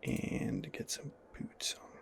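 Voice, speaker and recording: A man softly narrating a video game in ASMR style, musing hesitantly as he thinks aloud. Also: slow pace. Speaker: gamer narrating a role-playing game playthrough. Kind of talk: whispered ASMR game commentary.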